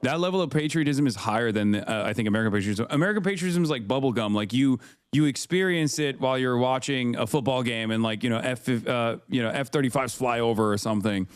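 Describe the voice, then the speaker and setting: A man's voice: mid-range voice, fast talker, smooth, dry recording, studio quality. A man in his thirties from Turkey, talking loosely in a podcast conversation with many fillers and restarts. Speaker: political commentator, guest.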